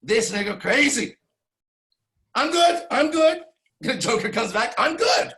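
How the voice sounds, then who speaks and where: A man's voice: very high voice, sing-song, a little slow, pushed and bright. A man in his forties telling a rambling, profane personal story, full of restarts and tag questions. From Iran. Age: 40s. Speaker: podcast host. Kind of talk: candid storytelling monologue.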